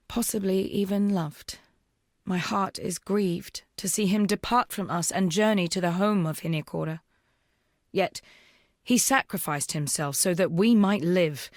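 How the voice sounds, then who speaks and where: Dark fantasy game role: solemn rebel woman, voiced by an actress, lowish pitch, stately old-fashioned phrasing, dry studio sound. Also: no room sound, lightly acted. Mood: mournful, uneasy. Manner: formal, measured, archaic phrasing. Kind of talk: scripted video game character dialogue.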